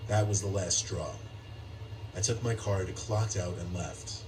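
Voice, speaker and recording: A man delivers scripted first-person horror narration in a very low voice. The sound is distant, as if played back across a room, with clear noise and ambience around it. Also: measured pace. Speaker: scary story narrator. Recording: playback heard from afar, noisy.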